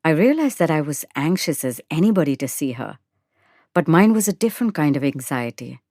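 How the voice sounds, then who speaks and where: Low-voiced woman narrating a dramatic story. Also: very animated, fairly quick, darkish tone, dry recording, clean studio sound. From India. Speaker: narrator and actor. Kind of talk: dramatic first-person short story narration.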